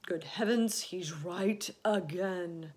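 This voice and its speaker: A woman voicing a kindly old male green grasshopper, measured and courteous, in a children's storybook. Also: fairly low pitch, animated, a little mellow, breathy edge, exaggerated voice. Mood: dismayed. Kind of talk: children's storybook read aloud.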